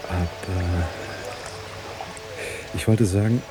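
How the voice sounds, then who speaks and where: An actor playing a frustrated, self-mocking journalist in a German radio drama, with a wry, probing manner. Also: male voice, low voice, fairly even intonation, very slow, loud music behind the voice. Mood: wry, brooding.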